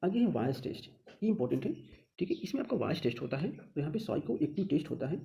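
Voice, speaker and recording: An Indian man with a slightly high, creaky voice gives an informal instructional explainer. His tone is very dark and soft-edged. He often asks a question and then answers it himself, checking in as he goes. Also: very animated, fast. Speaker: online teacher explaining a job exam notification.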